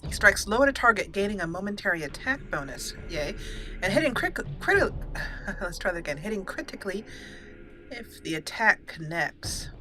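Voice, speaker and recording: Bright-voiced woman doing chatty game commentary. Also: mid-range voice, very animated, moderate pace. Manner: chatty, giggly, explains step by step. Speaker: gaming channel host. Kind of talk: casual let's play commentary.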